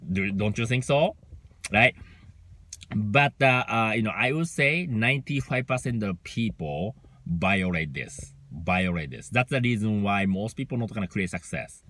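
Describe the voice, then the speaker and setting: A man's voice: lowish voice, expressive, a bit bright. A man from Japan with a Japanese accent, giving an unscripted motivational talk as a business mentor, full of fillers and "right?" checks. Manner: halting, heavy fillers, frequent "right?" checks.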